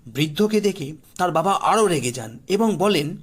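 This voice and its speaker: A man narrates a scripted horror audio story. His voice is fairly high for a man and husky, in a storyteller's delivery. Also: animated, normal speed.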